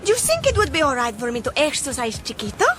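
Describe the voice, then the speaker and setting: High, girlish genteel young wife. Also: very animated, quick pace, over music. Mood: eager, excited. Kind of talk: radio drama acting.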